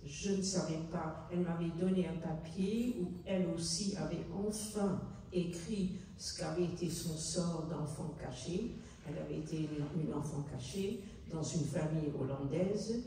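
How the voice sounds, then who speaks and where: Older lady, halting, mellow voice, far off mic in a big echoey hall. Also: low voice, slight background noise. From Netherlands. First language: Dutch. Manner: halting, searching for words, earnest. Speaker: author and guest. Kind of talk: public book talk. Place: large hall at a city hall book fair.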